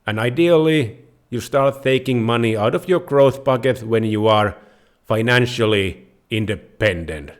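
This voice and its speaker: A man with a Finnish accent talks viewers through the basics at an unhurried, deliberate pace. His delivery is halting, with pauses and restarted sentences, and his pitch sits in an ordinary middle range. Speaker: host of a personal finance channel. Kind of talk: unscripted explainer talking to viewers.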